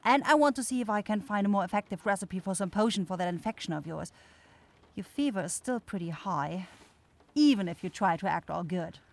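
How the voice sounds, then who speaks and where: A woman's voice: medium pitch, lively intonation, fairly quick, vocal fry. A woman playing a kind young forest witch in an ASMR fantasy roleplay, shy and caring, easily flustered into stammering. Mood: caring, tender.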